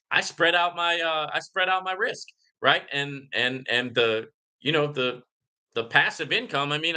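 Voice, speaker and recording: Male livestream host: punchy, very forward tone at average pitch, informal and opinionated, talking straight to viewers. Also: pushed and bright. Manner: conversational, repeatedly checks in with "right?". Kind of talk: casual live Q&A monologue, reading comments aloud.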